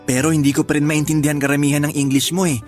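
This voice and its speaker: A young Filipino working-class man played by an actor in a radio love story. He speaks in a slightly high male voice with a playful, humble, smitten manner. Faint music plays behind him. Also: level tone, rapid-fire, darkish tone, close to an everyday voice. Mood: sincere, admiring. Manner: playful, teasing, plain-spoken. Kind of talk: radio drama acting.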